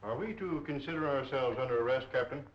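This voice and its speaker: A man playing a stiff, guarded suspect answering police in a formal, deliberate way in a 1930s film. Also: mid-range voice, close to an everyday voice. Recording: echoey, muffled, hissy 1930s film soundtrack.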